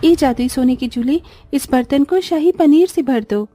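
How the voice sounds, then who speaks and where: Woman acting poor young housewife, good-hearted, earnest, expressive, highish pitch, soft tone, cartoon story, dry recording. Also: normal speed, no room sound, faint music behind, close to an everyday voice. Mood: eager. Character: poor, good-hearted young Indian daughter-in-law, humble.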